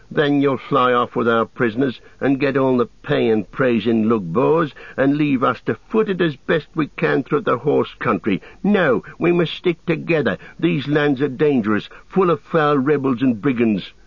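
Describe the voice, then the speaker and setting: A man's voice: medium pitch, measured pace, creaky, breathy edge, voice pushed up. A man voicing a quarrelsome, bloodthirsty small orc, whining and complaining in a storybook reading. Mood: resentful. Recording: roomy, dull lo-fi sound.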